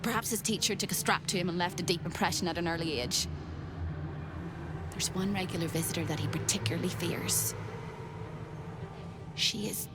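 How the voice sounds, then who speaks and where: A woman's voice: lowish voice, moderate pace, pauses often, lightly acted. A woman voicing an unhinged, whimsical captive apparition in a video game, with a manic, sing-song, theatrical manner. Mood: conspiratorial. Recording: loud game music behind.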